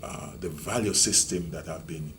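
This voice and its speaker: Retiring police officer, Jamaican man in his sixties, deep voice, unhurried storytelling, noisy room. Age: 60s. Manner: measured, reminiscing, with many ums and restarts. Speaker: retiring police deputy commissioner. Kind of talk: reflective interview storytelling.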